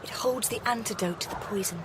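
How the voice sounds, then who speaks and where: A woman's voice: mid-range voice, brisk, noisy background, natural voice. A woman playing a determined, composed young Victorian wife in a radio drama, earnest and polite. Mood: subdued, resolute.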